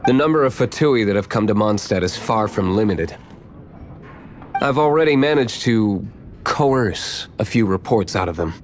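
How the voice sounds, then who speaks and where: Man acting aloof, low-voiced game vigilante. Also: animated, lightly acted. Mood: composed. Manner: dry, measured, curt. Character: aloof young man, secretive tavern boss and vigilante.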